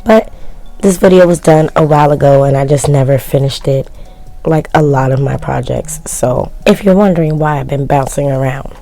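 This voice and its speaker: Low, gravelly woman with a worn voice. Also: normal speed, warm tone, faint music behind. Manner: chatty, self-mocking, shrugging asides. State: voice worn from yelling, cracking. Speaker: sewing vlogger. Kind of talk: casual DIY voice-over narration.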